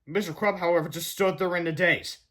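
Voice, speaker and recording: High-pitched man reading a children's story. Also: a little fast. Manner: expressive, stumbles over some words. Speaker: storybook reader. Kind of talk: animated children's book read-aloud.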